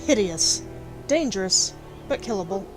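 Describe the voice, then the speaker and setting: A woman voicing a terse, composed young female elf warrior in a fantasy game, homemade recording under loud music. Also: mid-range voice, animated, a little slow, close to an everyday voice, low-quality recording. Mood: cool. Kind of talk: fan voice-over for a game protagonist.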